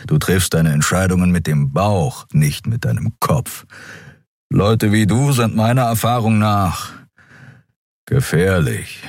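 Man doing a gruff, growling beast-man, curt and deadpan, very low and husky. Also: slow pace, no echo, clean studio sound, exaggerated voice. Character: gruff, taciturn male Skria creature.

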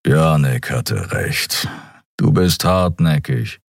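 Kero, a taciturn male creature who answers in a low, growling grumble, blunt and deadpan, as a male audiobook reader plays him. The voice is deep and husky, and it sits close and dry on the mic. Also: slow, dry recording, heavily acted. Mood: curt.

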